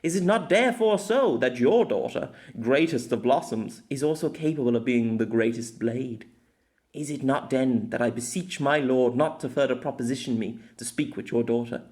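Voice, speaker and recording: A man's voice: mid-range voice, normal speed, a little mellow, lightly acted. A man voicing a haughty, indignant young noble lady in an audiobook, with theatrical, accusing delivery. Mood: dramatic reading.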